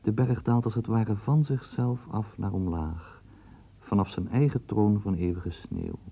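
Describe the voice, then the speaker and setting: Gravelly deep-voiced male radio narrator. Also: a little slow, warm tone. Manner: evocative storyteller guiding the listener. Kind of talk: scripted radio drama narration. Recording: tinny lo-fi old radio recording.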